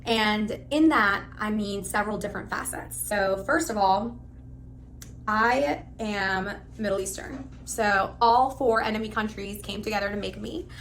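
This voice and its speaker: A woman in her thirties, originally from Pakistan, giving a spontaneous, rambling, animated self-introduction. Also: medium pitch, unhurried, slight background noise. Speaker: live stream host applicant.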